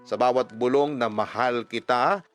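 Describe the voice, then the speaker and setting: A male storyteller delivers a scripted first-person romance letter as dramatic narration. His pitch is mid-range, and faint music runs underneath. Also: a little slow, smooth, dry recording. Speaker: storyteller reading a listener's letter.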